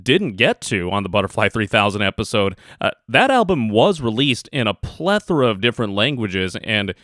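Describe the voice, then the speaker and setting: A man's voice: fairly low pitch, sing-song, normal speed, fairly bright tone, no echo, studio quality. A man co-hosting a music podcast, chatting casually, reading listener comments and plugging the show. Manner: upbeat, reads listener comments and show plugs. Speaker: podcast co-host.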